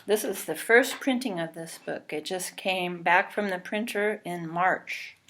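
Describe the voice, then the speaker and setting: A woman reading a children's storybook aloud, expressive and deliberate, pausing between phrases and adding short asides. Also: lowish voice. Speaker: storybook reader. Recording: clearly noisy recording with clicks.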